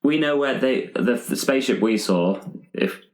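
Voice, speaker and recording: A man's voice: medium pitch, animated, normal speed. A man playing a tabletop role-playing game, chatting casually as he improvises with the group. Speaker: tabletop role-play player. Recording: echoey room.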